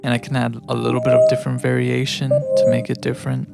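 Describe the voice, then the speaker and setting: A man's voice: mid-range voice, completely flat delivery, fast, creaky. A man from Mexico living in the United States chats casually and thinks aloud in a rambling, self-reflective way while producing music on a livestream. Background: electronic music.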